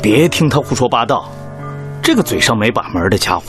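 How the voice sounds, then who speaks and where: An actor playing an earnest, evasive young doctor and devoted son in a Chinese radio drama, placating and colloquial. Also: male voice, mid-range voice, very animated, a little fast, lightly acted. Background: music.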